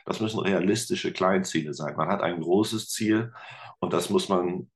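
Low-voiced guy chatting on a podcast, relaxed, lots of ähs while he explains stuff. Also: moderate pace. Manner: relaxed, explains with many fillers. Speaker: podcast guest, teacher and guitarist. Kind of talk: casual interview chat.

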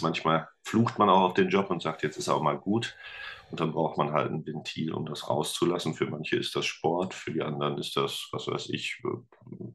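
A man's voice: very deep voice, a bit bright. A man talking casually as an interview guest, rambling a little as he thinks aloud. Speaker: guest, teacher and musician.

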